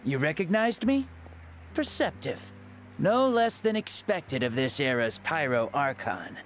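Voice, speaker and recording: A man's voice: on the high side, expressive, measured pace, fairly bright tone, slight background noise. A man voicing a playful, teasing revived ancient god in a video game. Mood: wry. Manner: teasing, wry. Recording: game audio with faint music.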